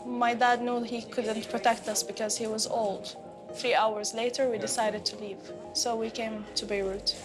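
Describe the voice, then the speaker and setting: Relaxed young woman from Syria. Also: a little high, moderate pace, over loud music. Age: young adult. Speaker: interviewee. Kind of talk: casual conversation. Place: indoors, cafe.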